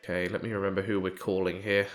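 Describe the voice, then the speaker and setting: A man's voice: low-pitched, little pitch movement, fast. A man with a stuffy nose from hay fever, chatting wryly while playing through a video game and reading its text aloud. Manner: wry, chatty. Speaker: let's play host. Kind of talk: casual gameplay commentary. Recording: game music faintly behind.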